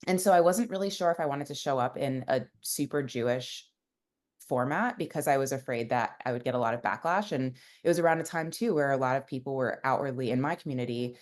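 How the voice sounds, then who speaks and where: A woman's voice: extremely low pitch, fairly quick, darkish tone. A young woman, a founder, telling her story in a casual interview, rambling with many fillers. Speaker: founder and guest.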